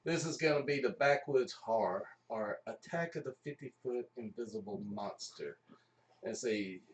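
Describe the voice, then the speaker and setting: A man's voice: medium pitch, moderate pace. A man hosting a live stream of radio plays in casual chat. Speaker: host of live radio play show. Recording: roomy, mic at a distance.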